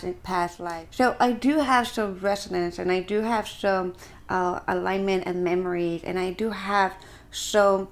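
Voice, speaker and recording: A woman from Ecuador with an Ecuadorian Spanish accent, speaking earnestly and deliberately to viewers as a practitioner, with emphatic pauses. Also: mid-range voice. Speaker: shamanic practitioner and video host. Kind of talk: earnest explanatory talk to camera.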